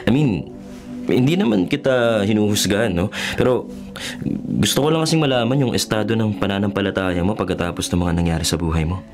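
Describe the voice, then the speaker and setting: A man's voice: fairly low pitch, brisk, over music, lightly acted. An actor playing a skeptical young male journalist with a Filipino accent, hesitant and evasive, in a radio drama. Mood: skeptical probing.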